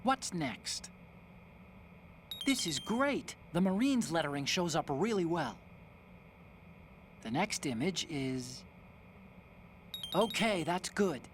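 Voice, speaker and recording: An actor playing an excitable young male support partner in a video game, chatty and encouraging. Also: on the high side, slow pace, pauses often, a little hiss or hum. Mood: upbeat, focused.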